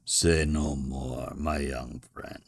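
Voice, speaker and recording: A man voicing a genial, pompous older gentleman who runs a madhouse, in a dramatic storybook reading with theatrical, self-assured delivery. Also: very deep voice, fairly even intonation, takes it slowly, gravelly, dry recording, voice pushed down, exaggerated voice. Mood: kindly.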